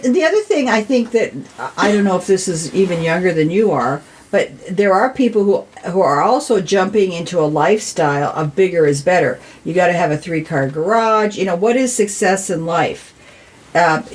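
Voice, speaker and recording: Earnest, low-voiced American woman of sixty. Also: animated, normal speed. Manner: earnest, preachy. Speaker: podcast guest, city council member. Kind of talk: casual discussion.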